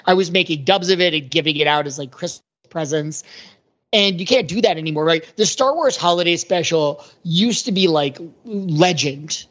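A man's voice: fairly high pitch, normal speed, fairly bright tone. Middle-aged man chatting on a dull, lo-fi recording. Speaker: podcast panelist. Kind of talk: casual chat.

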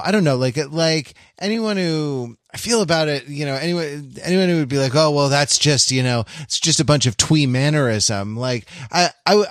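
A man's voice: on the high side, no echo, studio quality. A man co-hosting a podcast, talking casually about film in a hesitant, meandering way that keeps interrupting itself. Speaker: podcast co-host.

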